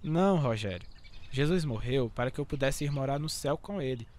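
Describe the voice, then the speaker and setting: A man's voice: mid-range voice, animated, a little fast, darkish tone, slight background noise, close to an everyday voice. An actor playing a caring, devout young man, an older brother, speaking earnestly in a radio drama. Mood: earnest, calm.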